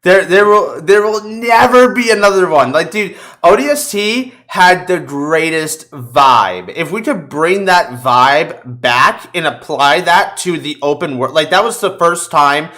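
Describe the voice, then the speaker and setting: A man's voice: on the high side, expressive, unhurried, hardly any pauses, sharp tone. A man hosting a podcast, chatting casually about video games in slangy, sweary bro talk. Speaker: podcast host.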